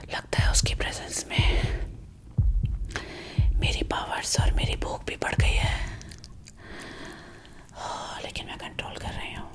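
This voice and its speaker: A woman whispering as a protective, centuries-old female vampire girlfriend in an ASMR roleplay, hushed and intimate, close to a binaural mic. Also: close to an everyday voice. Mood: hungry, intense, then tender, tempting.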